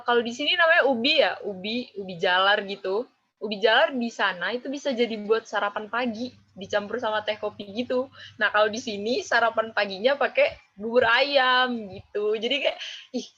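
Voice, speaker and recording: A woman's voice: a little high, a bit bright. A young woman in her twenties with a Javanese accent, chatting casually as an interviewee and tagging phrases with filler words. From Java, Indonesia. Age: 20s. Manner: chatty, drawn-out, ends phrases with "gitu". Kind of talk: casual chat. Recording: dull lo-fi recording.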